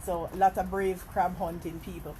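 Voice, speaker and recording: A woman from Jamaica with a Jamaican accent, chatting casually on a phone live stream at a seafood restaurant and breaking off her stories to give viewers shout-outs. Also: on the low side, little pitch movement, moderate pace, a little hiss or hum, rough recording. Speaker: vlogger.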